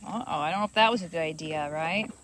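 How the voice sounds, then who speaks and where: A woman reading a children's storybook aloud as host, in an expressive, animated manner for young listeners. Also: low-pitched, fast talker, a little hiss or hum.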